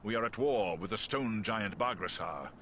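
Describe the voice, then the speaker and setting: Deep, solemn eagle scout. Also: male voice, animated, moderate pace, a bit bright, slight background noise. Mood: grave. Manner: formal, stately diction. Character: noble male giant eagle scout, earnest and solemn. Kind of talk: scripted fantasy game dialogue.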